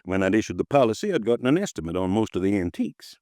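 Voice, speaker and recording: A folksy, easily riled insurance agent in his fifties in an old radio drama. His pitch swings widely as he talks. The sound is dry and close. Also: very animated, very fast, dark tone, no room sound. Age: 50s. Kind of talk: 1950s radio drama acting. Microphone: close studio mic, dry.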